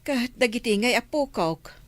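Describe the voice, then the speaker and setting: A woman's voice: a little high, animated, moderate pace, lightly acted. A woman playing a tired but fond elderly village grandmother with an Ilocano accent in a comedy radio drama.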